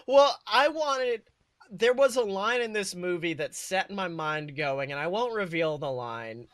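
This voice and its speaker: A man's voice: high voice, lively intonation, measured pace, a bit bright, dry recording, studio quality. A man co-hosting a podcast, improvising a comedic story in an informal, sweary way with dramatic build-ups. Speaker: podcast co-host.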